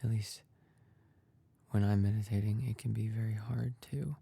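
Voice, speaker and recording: A man leading a guided meditation in a soft, breathy, husky voice, calm and gentle, with long pauses. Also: lowish voice, dry recording.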